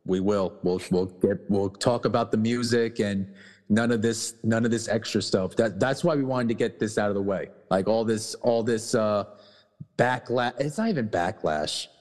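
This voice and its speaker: A man co-hosting a casual podcast, talking bluntly with many restarts and swearing, his voice going hoarse. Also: fairly low pitch, normal speed, a little mellow, long reverb tail. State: losing his voice. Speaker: podcast co-host.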